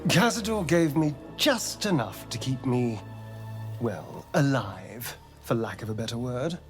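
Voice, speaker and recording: Male actor as sly vampire, vain, flirtatious, unhurried, widely swooping pitch, video game drama. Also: animated, faint music behind, natural voice. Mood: brooding, ambitious. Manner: theatrical, flirtatious, arch, drawn-out delivery. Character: sly, vain male vampire, centuries old, hungry for power.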